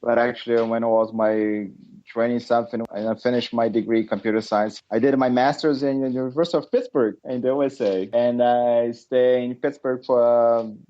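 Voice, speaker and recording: A middle-aged man from Brazil with a Brazilian accent, a podcast guest talking casually, halting with many fillers and restarts. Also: mid-range voice, steady tone, warm tone, highs cut off. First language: Portuguese. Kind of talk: casual interview chat.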